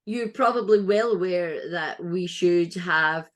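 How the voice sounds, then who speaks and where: A Scottish woman hosting an interview podcast, with a slightly low voice. She talks in a chatty, earnest way and often restarts her sentences. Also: slow pace. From Scotland. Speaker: podcast host. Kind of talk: casual interview chat.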